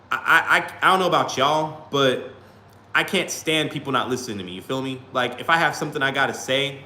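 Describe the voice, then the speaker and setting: A man's voice: medium pitch, sharp tone. A man rambling casually to his livestream chat, leaning on "you know what I'm saying" and "you feel me.". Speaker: small YouTuber live-streaming. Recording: roomy echo, faint background hum.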